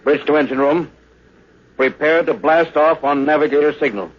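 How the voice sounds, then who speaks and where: A man's voice: fairly high pitch, close to an everyday voice. A man acting a seasoned, authoritative middle-aged spaceship captain in a vintage radio drama. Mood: brisk, commanding. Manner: brisk, authoritative. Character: seasoned, commanding male spaceship captain, weary but determined. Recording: muffled, noisy old radio recording.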